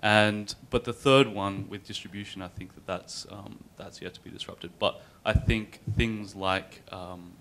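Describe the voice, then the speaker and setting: A man speaking as a panelist, explaining his points in a measured, structured way with many fillers. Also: on the low side, steady tone, a bit bright, a little hiss or hum. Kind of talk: panel discussion.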